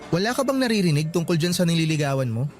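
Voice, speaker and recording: A man's voice: on the high side, big pitch swings, fast, soft background music. A man acting a hesitant, concerned young student friend in a radio drama, with a Filipino accent. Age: young adult.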